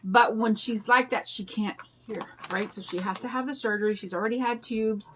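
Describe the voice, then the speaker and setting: An older woman with a raspy voice and a drawl, chatting in a rambling way and greeting viewers while she demonstrates a craft on a livestream. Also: on the high side, fairly even intonation, moderate pace, fairly bright tone, slight background noise. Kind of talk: casual chat during a craft demo.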